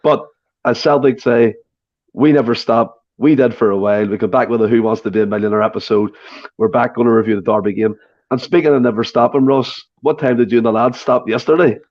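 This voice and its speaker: A hoarse man with a Scottish accent hosting a casual podcast, chatty and leading the talk with questions. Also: medium pitch, fairly even intonation, brisk, muffled recording. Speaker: podcast host. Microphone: remote call setup.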